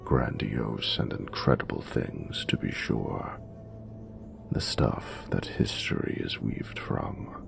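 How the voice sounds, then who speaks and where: A man voicing an ancient, regal dragon in a romantic audio roleplay, doting and flirtatious, with gravelly, breathy, often near-whispered, grandiose and formal speech. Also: very deep voice, over music, full character voice. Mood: solemn, reassuring. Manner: grandiose, formal, measured phrasing. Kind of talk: romantic audio roleplay, slow intimate monologue.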